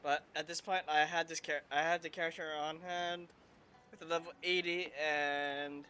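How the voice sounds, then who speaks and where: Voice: medium pitch, little pitch movement, normal speed, bright, forceful tone, soft background music. A gameplay commentator making wry, offhand remarks in casual let's-play commentary.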